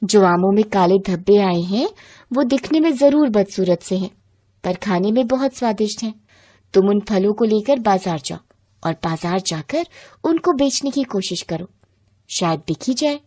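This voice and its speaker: A woman voicing a calm, reassuring mother who gives soothing advice in a moral bedtime story. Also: mid-range voice, normal speed, close to an everyday voice. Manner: soothing, advising.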